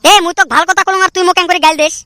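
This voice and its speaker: Woman cartoon voice, cheeky and bantering, very high, quick delivery. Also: level tone, sharp tone, no room sound, studio quality. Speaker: cartoon channel creator and voice of several characters. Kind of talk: cartoon comedy voice acting.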